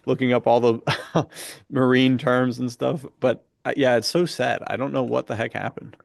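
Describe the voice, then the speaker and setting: A man with a creaky, gravelly voice at an average pitch talks casually as a podcast panelist. He is opinionated and conversational, recorded dry and close. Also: fairly even intonation, moderate pace, no room sound.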